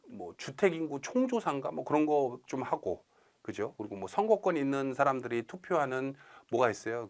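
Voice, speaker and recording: A man giving an informal recorded exam-prep lecture, chatty and colloquial, often checking in with rhetorical questions. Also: medium pitch. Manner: colloquial asides and playful imagined quotes.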